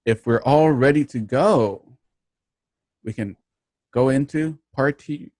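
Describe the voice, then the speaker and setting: A man's voice: mid-range voice, takes it slowly, darkish tone, no echo, clean studio sound. A man hosting an audio drama show, introducing it in a formal announcing style. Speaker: host of radio drama show.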